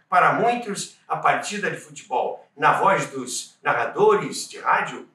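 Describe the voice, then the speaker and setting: Older Brazilian male host, bright high voice, emphatic storyteller, recorded roomy with music underneath. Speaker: host of a radio history channel. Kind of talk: presenter monologue to viewers. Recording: roomy, distant from the mic.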